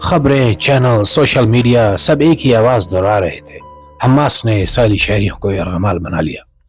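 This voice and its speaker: A man's voice: lowish voice, normal speed. A man delivering scripted dramatic narration as a host, in a solemn, impassioned and rhetorical manner. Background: clear music bed under the voice.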